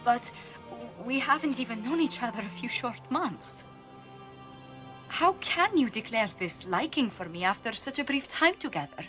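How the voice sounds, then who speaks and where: A proper young-hearted noblewoman for a fantasy game, earnest and easily flustered. Her speech is polite and precise, with lively, swooping pitch over game sound. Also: moderate pace, soft background music. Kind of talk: video game cut-scene acting.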